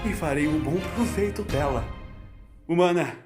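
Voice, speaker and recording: A man's voice: fairly high pitch, expressive, normal speed, lightly acted. A man voicing a ruthless, maniacal immortal villain in an audio drama, with an imperious, menacing, declamatory delivery. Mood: scornful, commanding. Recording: echoing voice over music.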